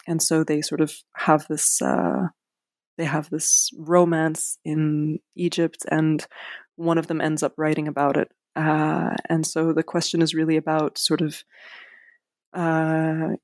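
Woman author, interview guest; flat delivery, halting and self-deprecating, dry recording. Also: low voice, dry recording. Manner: hesitant, many fillers and restarts, self-deprecating. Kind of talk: casual interview.

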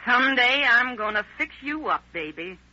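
An actress playing a hot-tempered, sneering blonde burglar in an old radio crime drama, with an American accent and threatening slang. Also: female voice, on the high side, animated, moderate pace, pushed and bright, exaggerated voice. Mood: furious, threatening. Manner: scornful, threatening street slang. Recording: muffled, scratchy old radio broadcast.

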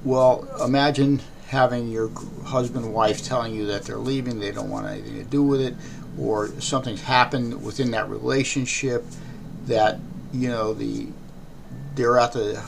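An American man with a raspy voice hosting a podcast, talking off the cuff in a halting, rambling way. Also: medium pitch, fairly even intonation. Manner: halting, throat-clearing, rambling. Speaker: podcast host. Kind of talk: unscripted podcast talk. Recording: clearly noisy background.

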